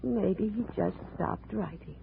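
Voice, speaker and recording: A woman's voice: mid-range voice, creaky. A woman playing a haunted, lonely wife in a 1950s radio drama, formal and melodramatic in her speech. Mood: wistful, stubborn. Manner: slow, formal, melodramatic, without contractions. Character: brooding, lonely abandoned wife, haunted and intense. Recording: tinny, noisy old radio recording.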